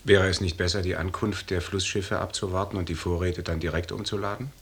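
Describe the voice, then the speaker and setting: Questioning officer, low voice, husky, formal, restrained, German radio drama. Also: male voice, steady tone, a little fast, fairly bright tone, exaggerated voice. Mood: restrained, querying. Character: correct, questioning male expedition officer.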